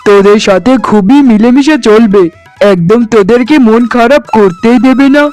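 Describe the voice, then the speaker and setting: A man's voice: high-pitched, normal speed, darkish tone, soft background music, lightly acted. A man voicing a young newlywed husband and elder brother in a cartoon, placating in manner. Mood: soothing. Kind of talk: Bengali cartoon dialogue acting.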